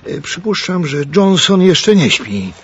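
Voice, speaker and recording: An actor playing an older upper-class doctor, a stern but courteous uncle with a husky voice and formal manner, in a radio drama. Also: male voice, high-pitched, big pitch swings, unhurried, a bit bright, slight background noise, heavily acted. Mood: fussy. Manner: formal, courteous, hesitant asides. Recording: dull, muffled recording.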